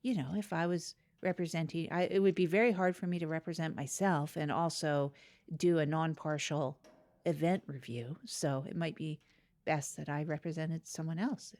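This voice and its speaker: An earnest small-town podcast host played by a woman with a fairly low voice. She is folksy, supportive and reassuring in improvised comedy banter. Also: fairly even intonation, moderate pace, a little mellow, natural voice. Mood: agreeable.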